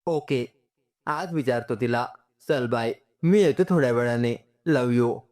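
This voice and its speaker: Guy doing a nice sincere young dude in a story, casual, bits of english mixed in, mid pitch. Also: unhurried, darkish tone, lightly acted. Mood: casual, affectionate. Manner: casual, mixes in English words. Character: polite, friendly, apologetic young man, a singer.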